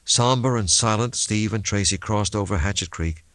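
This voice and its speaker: Low male audiobook narrator, dramatic thriller read, really dry close sound. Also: normal speed, a bit bright, no echo.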